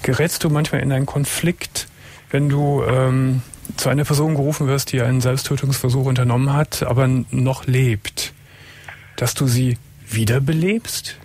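Middle-aged guy hosting late night radio, kinda raspy and gravelly, calm and asks a lot. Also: mid-range voice, normal speed. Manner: calm, probing questions with frequent murmured agreement. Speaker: radio talk show host. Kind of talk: late-night call-in talk. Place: radio studio. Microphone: studio mic with headphones.

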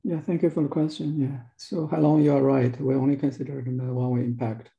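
Very dark, creaky-voiced man in echoey room. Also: medium pitch, little pitch movement, a little fast, muffled recording. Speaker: research scientist. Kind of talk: answering a technical question. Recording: roomy, echoing call audio.

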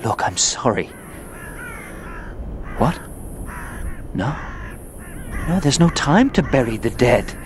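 An actor playing a guilt-ridden knight in an audio drama, muttering haltingly to the battlefield dead. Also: male voice, medium pitch, sing-song. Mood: agitated, apologetic. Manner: halting, muttering, talking to unseen listeners. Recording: noisy outdoor soundscape with crows.

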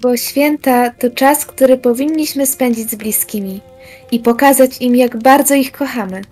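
A gentle, earnest mother played by a young woman with a fairly high voice, talking patiently to her children. It is a school Christmas radio play with faint music underneath. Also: normal speed. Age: young adult. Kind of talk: amateur school audio drama.

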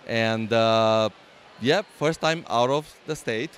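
A man's voice: mid-range voice, takes it slowly, a bit bright, slight background noise. A man from Romania with a Romanian accent, talking hesitantly about his build, with many fillers and restarts, in a convention hall interview. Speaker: LEGO builder and exhibitor. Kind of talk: casual interview.

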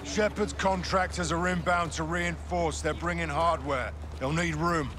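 An actor playing a stoic, terse special forces lieutenant with a British accent, clipped and deadpan, in a military game. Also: male voice, a little high, a little slow, noisy background, lightly acted. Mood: businesslike. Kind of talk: cinematic military game acting. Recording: loud music behind dialogue.

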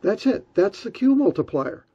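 A man's voice: high-pitched, big pitch swings, moderate pace, warm tone, breathy edge, dull audio. A man giving a technical explanation in the role of an explainer.

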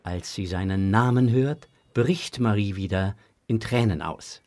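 A man narrating a children's audio drama in a measured, expressive storytelling manner. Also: lowish voice, faint music behind.

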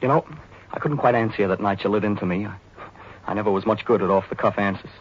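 A man's voice: lowish voice, fast, husky. An actor playing a cocky, self-serving young American serviceman in the style of a 1940s radio drama. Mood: bitter, scornful. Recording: muffled, noisy vintage 1940s radio.

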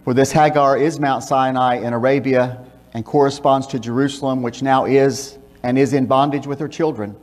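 An older man preaching from a church pulpit, reading scripture and praying in a measured, emphatic way. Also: medium pitch, fairly even intonation, darkish tone. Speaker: pastor. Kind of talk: sermon with scripture reading and prayer. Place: church sanctuary, speaking from a pulpit. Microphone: pulpit microphone, filmed by a far camera. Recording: long church reverb.

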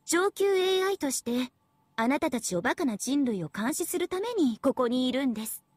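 A young female AI devil girl for an anime game. The voice is very high, sweet and girlish, with a dry studio sound. She is smug and playful, talking down to people with mock politeness. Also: lively intonation, normal speed, a little mellow, slightly rough, dry recording. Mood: condescending. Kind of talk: Japanese anime game voice acting.

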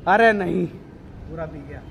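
A man's voice: on the high side, takes it slowly, vocal fry, fairly bright tone, a little hiss or hum. A young man in his twenties vlogging casually in a large indoor gym, joking and teasing friends while holding a phone camera. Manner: joking, teasing, laughs often, calls out to friends. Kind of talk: casual gym vlog chat. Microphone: phone camera held at arm's length. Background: gym music.

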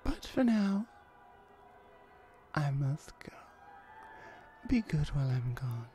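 A woman voicing a protective, flirtatious female hellhound in a roleplay ASMR audio drama, soft, reassuring and teasing. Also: very animated, exaggerated voice. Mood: tender, flirty. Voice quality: low, soft, mellow. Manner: unhurried, reassuring, teasing, sometimes breathy.

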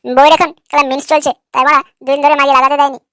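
A man voicing an irritable, foul-mouthed young husband in a comic cartoon, with a sharp, artificially raised voice and a combative manner. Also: very high-pitched, little pitch movement, rapid-fire, dry recording, studio quality, voice pushed up. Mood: defensive. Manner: combative, crude.

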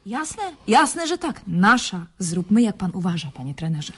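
An actress playing a cynical young chess player who bargains drily and calmly in a radio drama. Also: female voice, mid-range voice, a little slow, slightly rough. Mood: cool, bargaining. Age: young adult. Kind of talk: Polish radio drama acting.

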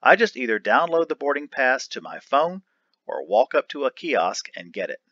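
Man, educational read-aloud, deliberate emphasis, forceful bright tone, dry close sound. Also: mid-range voice, sing-song, dry recording, muffled recording. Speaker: reader of an educational article. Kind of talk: read-aloud of an article transcript, announcing each speaker.

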